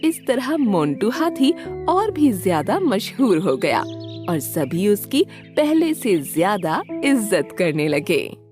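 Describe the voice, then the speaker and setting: A woman narrating a scripted children's story in an expressive storytelling manner. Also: mid-range voice, takes it slowly, music in the background.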